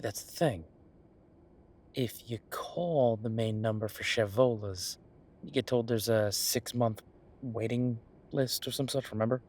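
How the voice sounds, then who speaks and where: Man acting an easygoing, husky chef. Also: mid-range voice, a little mellow, close to an everyday voice. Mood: fond, reminiscing. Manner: conversational, musing, explanatory. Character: easygoing male chef planning restaurant business with his partner. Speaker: voice actor in audio roleplay. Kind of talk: one-sided roleplay acting, casual chat.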